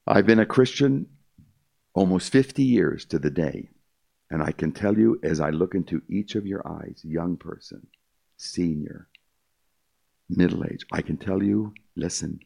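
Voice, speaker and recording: A man's voice: rumbling low, creaky, warm tone. An older man giving an emphatic, repetitive testimony speech to a live audience, calling his listeners "my friend.". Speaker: concert pianist giving testimony.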